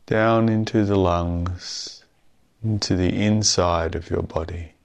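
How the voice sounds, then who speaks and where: A man leading a guided meditation in a calm, soothing, measured way with long pauses. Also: deep voice, gravelly.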